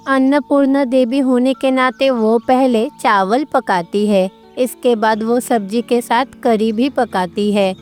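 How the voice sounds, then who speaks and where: A woman's voice: fairly high pitch, steady tone, unhurried, hardly any pauses, a little mellow, faint music behind. A woman narrating a scripted devotional story as a storyteller.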